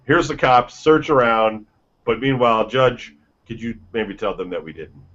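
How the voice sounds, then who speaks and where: A man's voice: mid-range voice, moderate pace, fairly bright tone. A man hosting a casual gaming news podcast, reading headlines and joking around with loose, swearing banter. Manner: reads headlines then jokes, swears freely. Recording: dull lo-fi podcast audio.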